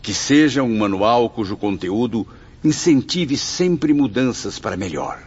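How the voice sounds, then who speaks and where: An actor playing a grateful, humble writer spirit in a radio drama, speaking in a formal, earnest way. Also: male voice, mid-range voice, animated, measured pace, a little mellow, slightly rough. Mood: earnest, hopeful. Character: grateful, humble male writer spirit, a convalescing Portuguese suicide. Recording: muffled audio with music bed.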